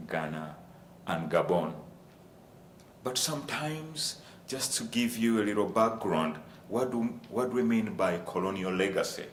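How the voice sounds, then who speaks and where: A man from Uganda, giving a formal presentation on a university panel and addressing the audience as ladies and gentlemen. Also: sits low. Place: lecture room. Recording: echoey room with faint ambient noise.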